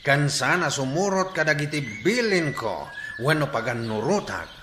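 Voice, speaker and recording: A man playing a boastful, commanding bandit chief in a radio drama, speaking in a loud, domineering, declamatory way. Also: fairly high pitch, very animated, normal speed, noisy background. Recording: echoey room with voices behind.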